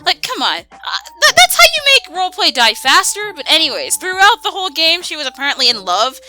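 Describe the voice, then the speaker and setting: A woman chatting casually as she answers viewer questions, animated and slangy, given to exclaiming. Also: very high voice, fairly quick, slight background noise. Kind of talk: casual chat Q&A.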